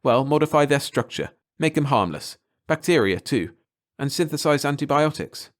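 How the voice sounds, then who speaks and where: A man doing a patient, measured chemist. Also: on the high side, expressive, voice pushed up, lightly acted. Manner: patient and quiet.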